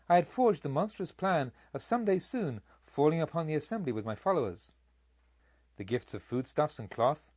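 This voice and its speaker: A man's voice: medium pitch, darkish tone, no echo, lo-fi sound. A man voicing a reformed male bandit in a storybook, speaking earnestly, formally and with emphasis to a noble lady.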